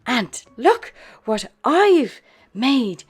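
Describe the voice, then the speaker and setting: A woman's voice: a little high, very animated, extremely slow, breathy edge, voice pushed up, full character voice. A woman voicing a playful, boisterous young male animal friend in a children's storybook. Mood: casual. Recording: music behind the voice.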